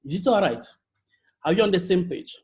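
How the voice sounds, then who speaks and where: A young man from Togo with a French accent, a native French speaker giving an energetic online workshop talk as a youth leader, often repeating and restarting words over a video call. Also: high-pitched, brisk. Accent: Togolese French.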